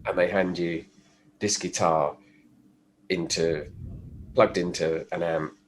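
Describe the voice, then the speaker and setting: Low-voiced man with a cold. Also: slow, slight background noise. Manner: relaxed, explanatory, with fillers and restarts. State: stuffy from a cold. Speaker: guest, pickup designer. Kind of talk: casual podcast conversation.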